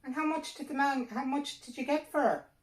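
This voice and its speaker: A woman voicing a sharp-tongued, sceptical farmer's wife in a storybook folktale. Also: high-pitched, brisk, roomy sound, far from the mic, pitched above the performer's own voice, natural voice. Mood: scolding.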